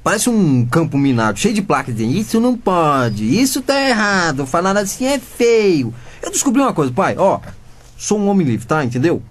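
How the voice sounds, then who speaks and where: A man's voice: high voice, very animated, moderate pace. An actor with a Brazilian accent playing a cheeky, rebellious fifteen-year-old boy in a comedic radio drama, sarcastic and slangy. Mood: sulky, defiant.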